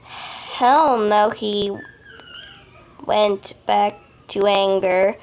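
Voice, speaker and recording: A woman reading a story aloud as an amateur, haltingly, often stumbling over words and correcting herself. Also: medium pitch, fairly even intonation, a little hiss or hum, low-quality recording. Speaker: amateur fan fiction reader.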